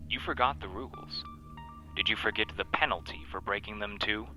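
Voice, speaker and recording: A menacing coven enforcer acted by a man with a very sharp, forceful voice, formal and deliberate. Music plays behind him in this horror audio drama. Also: on the low side. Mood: cold menace. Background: background music.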